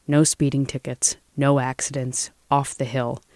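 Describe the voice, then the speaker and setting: A man's voice: mid-range voice, level tone, a little slow, a little mellow, dry recording, lightly acted. A man voicing a tense, secretive male driver in a thriller story, clipped and guarded. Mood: tense inner thoughts.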